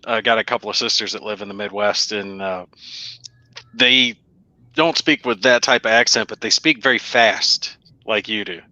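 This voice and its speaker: A man's voice: on the low side, steady tone, normal speed, bright, forceful tone, no room sound. A man from the American South co-hosting a casual interview, on a slightly lo-fi line. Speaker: co-host, interviewer.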